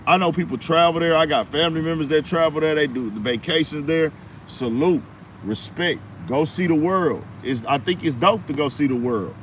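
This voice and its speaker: A man's voice: on the high side, moderate pace, fairly bright tone, slight background noise, rough recording. An American man delivering an emphatic political commentary monologue in slangy speech, firing off rhetorical questions. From United States. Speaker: commentary host.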